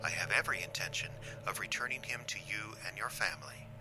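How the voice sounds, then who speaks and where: An actor playing a coolly menacing, condescending alien invader in an audio drama, speaking in a measured, threatening way. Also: male voice, fairly low pitch, over loud music, lightly acted, poor audio. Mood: cold threat.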